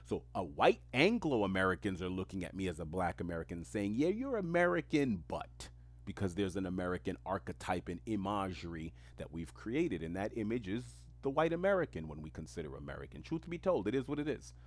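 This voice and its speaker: Deep-voiced New man musing solo. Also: very animated, a little fast. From New York City, Queens. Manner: reflective, emphatic, rhetorical repetition. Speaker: podcast host. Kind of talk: solo stream-of-consciousness monologue.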